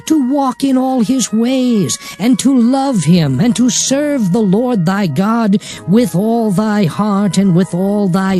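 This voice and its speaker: An actor playing Moses as a solemn prophet exhorting his people in a declamatory, measured dramatized scripture reading. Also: high voice, dark tone, exaggerated voice. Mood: earnest, exhorting. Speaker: scripture narrator. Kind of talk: dramatized Bible reading in archaic English. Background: music under the voice.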